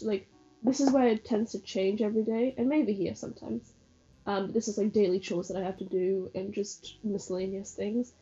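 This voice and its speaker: A woman's voice: on the high side, dark tone, dull audio. A young woman from northern England vlogging in a living room, rambling casually with lots of fillers and self-deprecating asides, a little stuffy from hay fever. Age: young adult. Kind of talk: casual chatty vlog.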